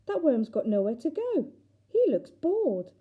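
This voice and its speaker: A woman's voice: high-pitched, sing-song, a little slow, dull audio, pitched above the performer's own voice. A woman voicing a squeamish, sceptical young girl in a children's storybook, with a blunt, exclamatory manner. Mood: dismissive. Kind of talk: children's storybook character reading.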